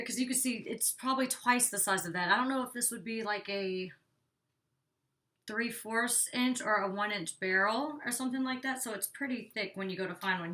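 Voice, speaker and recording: A woman's voice: on the high side, heavy background noise. Young woman doing a hair tutorial at home, kinda stuffy nose, chatty, lots of likes, sounds a bit far from the mic. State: congested, slightly out of breath. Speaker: beauty vlogger. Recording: distant from the mic.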